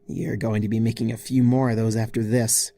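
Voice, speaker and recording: A man's voice: lowish voice, little pitch movement, fairly quick, dark tone, natural voice. A man voicing an indignant, bossy young female pony in a storybook reading, huffy and sarcastic. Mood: triumphant.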